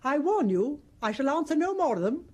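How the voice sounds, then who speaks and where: An actress playing a proud, imperious older mother who fiercely protects her son, with a husky, haughty voice in a radio drama. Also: high voice, sing-song, fairly quick, darkish tone. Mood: defiant.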